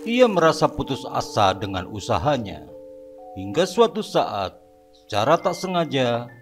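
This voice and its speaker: A man narrating a horror cartoon story in a steady manner. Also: a little high.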